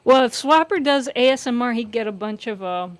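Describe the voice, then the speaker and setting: A woman's voice: a little high, lively intonation, brisk. A woman co-hosting a livestream in casual, conversational chat.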